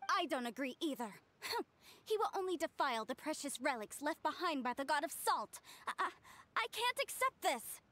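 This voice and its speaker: A woman's voice: high voice, sing-song, moderate pace, fairly bright tone. An actress playing an earnest, principled, proud young woman archaeologist in an anime game, speaking with formal conviction. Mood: reproachful. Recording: music behind the voice.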